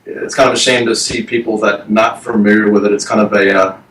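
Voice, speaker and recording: A man in his thirties speaks as a band guest, slow and hesitant, full of fillers. His voice is low-pitched and sounds far from the mic in an echoey room, with faint noise around it. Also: flat delivery, a bit bright. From Texas. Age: 30s. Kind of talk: casual interview chat.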